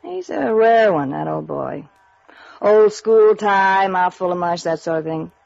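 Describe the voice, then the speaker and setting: A woman's voice: mid-range voice, animated, a little slow, vocal fry, a little mellow, dry recording. An American woman playing a sassy, resentful, sardonic small-town office worker in a 1950s radio noir drama. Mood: wry. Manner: slangy, sardonic, catty. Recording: dull, dry vintage radio recording.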